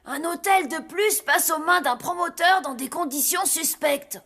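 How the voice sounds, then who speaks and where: Piercing, bright zombie kid. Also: female voice, very high voice, measured pace. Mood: alert, insistent. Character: alert, bossy zombie child. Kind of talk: French children's cartoon dubbing.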